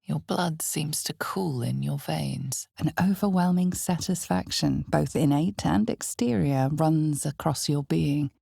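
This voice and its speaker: An ominous, knowing game narrator with a very low voice full of gravelly fry. She tells a dark fantasy tale to the listener slowly and deliberately. The recording is close and dry. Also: no echo, full character voice. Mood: ominous, measured. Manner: measured, deliberate, portentous delivery. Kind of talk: dramatic scripted second-person fantasy narration.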